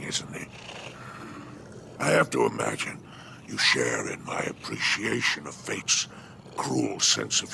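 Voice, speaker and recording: An actor playing a sly, menacing alien crime baron in a game cutscene, with a gravelly, mocking, theatrical delivery. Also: male voice, lowish voice, background noise, full character voice. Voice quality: gravelly, creaking growl. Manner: drawn-out, sardonic, dropping to breathy asides. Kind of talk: dramatic video game cutscene acting.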